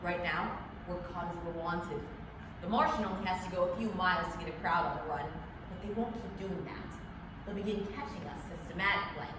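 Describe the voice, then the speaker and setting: A woman's voice: lowish voice, brisk, lightly acted. A woman playing a bitter, fanatical male National Guard artilleryman in a staged reading of a radio drama, talking in colloquial, emphatic rants. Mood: grim, scornful. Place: indoor library event space, filmed live. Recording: echoey public library hall, far mic, noisy.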